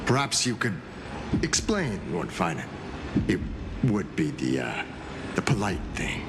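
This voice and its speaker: A smug assassin leader from a video game, menacing under a veneer of courtesy. He speaks very slowly with theatrical pauses and a lively, swinging pitch. The voice rings in a long hall reverb. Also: vocal fry, background noise, exaggerated voice. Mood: mocking, menacing. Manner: drawling, mock-polite, theatrical pauses.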